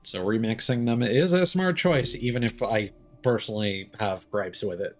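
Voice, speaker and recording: A man's voice: fairly low pitch, moderate pace, slight background noise. A man giving casual live commentary as a game streamer, rambling and musing aloud.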